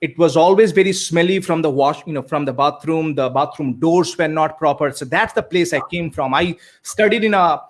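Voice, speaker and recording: Indian coach, male, Bengali native speaker, earnest storyteller, filler-heavy, slightly raised pitch. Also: moderate pace, hardly any pauses. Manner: earnest, many fillers and repeated starts. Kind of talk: conversational storytelling.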